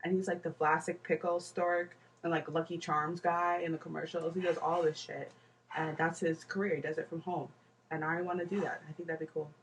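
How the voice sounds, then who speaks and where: Woman, lowish voice, chatty, says "like" a lot, distant mic, faint noise. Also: little pitch movement, fairly quick. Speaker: podcast co-host. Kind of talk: casual chat.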